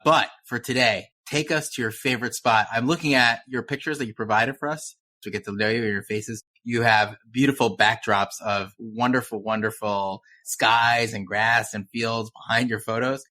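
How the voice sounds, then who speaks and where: A man's voice: medium pitch, steady tone, moderate pace, hardly any pauses, bright, forceful tone. A man co-hosting a podcast, chatting in a friendly way with the guests. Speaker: podcast co-host.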